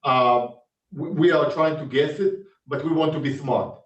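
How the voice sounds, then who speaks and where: A man with an Israeli accent, an executive in an investor interview, speaking haltingly with many fillers. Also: on the high side. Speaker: company executive. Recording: distant roomy muffled call audio.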